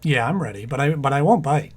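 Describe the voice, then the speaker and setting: A man's voice: medium pitch, lively intonation, fast, close to an everyday voice. A man playing a sleepy, bemused young husband in a 1940s-style radio sitcom, answering drowsily and dryly. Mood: drowsy. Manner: drowsy and dry.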